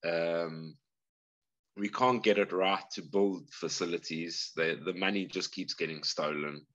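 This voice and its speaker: A man's voice: sits low, steady tone, measured pace, a bit bright, muffled recording. A man with a South African accent, a guest explaining things earnestly in a casual interview, often restarting his sentences. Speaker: guest bladesmith.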